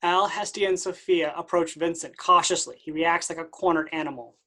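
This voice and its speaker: A man's voice: on the high side, fairly even intonation, fairly quick, pushed and bright. A man reading stage directions as scripted narration at a play reading.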